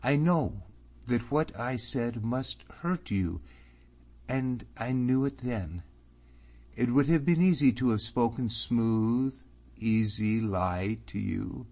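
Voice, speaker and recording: A man's voice: medium pitch, takes it slowly, a little mellow, pitched above the performer's own voice. A man reading an audiobook, voicing an earnest young man who pleads anxiously, close to his own voice. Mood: pleading. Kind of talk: audiobook character voice. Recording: slightly muffled recording.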